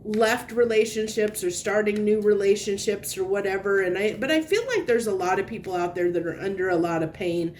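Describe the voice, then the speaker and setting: A stuffed-up, sniffly woman talks through a tarot reading in a loose, wandering way. She circles back on her thoughts and offers gentle reassurance. A faint low rumble sits underneath her voice. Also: a little fast, few pauses. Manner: meandering, searching for words, gentle reassurance. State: congested, sniffly. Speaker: tarot reader. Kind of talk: casual tarot reading to camera.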